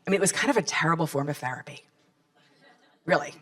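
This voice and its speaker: A woman's voice: sits low, lively intonation, fast, a bit bright. Candid chatty woman in her fifties. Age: 50s. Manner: candid, chatty, rallying the audience with questions. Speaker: nonprofit founder closing a panel event. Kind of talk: closing remarks to a live audience.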